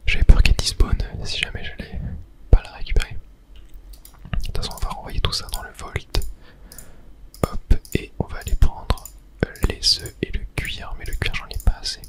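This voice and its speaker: A person whispering softly through casual ASMR video game commentary, murmuring play-by-play with little filler words. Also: normal speed, rough recording. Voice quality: soft unvoiced whisper. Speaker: gaming ASMR creator.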